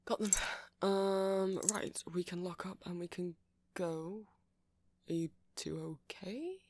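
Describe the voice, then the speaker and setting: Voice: mid-range voice, takes it slowly, darkish tone. A performer playing a lovestruck, bashful nerdy vampire man who rambles in an ASMR roleplay. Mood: reassuring.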